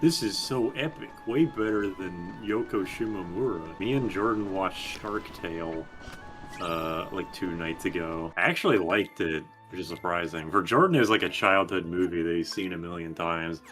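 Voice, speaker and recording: A man's voice: sits low, moderate pace, a bit bright. A man doing casual, joking game commentary with crude, sweary language. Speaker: gaming stream co-commentator. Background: game music.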